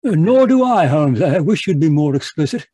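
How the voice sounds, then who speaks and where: An actor playing an excitable, puzzled gentleman sidekick in a radio mystery, speaking emphatically. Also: fairly high pitch, normal speed, breathy edge. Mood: puzzled, excited. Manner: emphatic, exclamatory. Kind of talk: old-time radio mystery acting.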